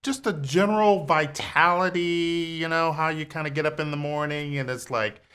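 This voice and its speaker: A man's voice: on the high side, moderate pace, clear, resonant tone. Easygoing man full of fillers. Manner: relaxed, rambling with many fillers. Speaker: podcast guest. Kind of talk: casual conversation.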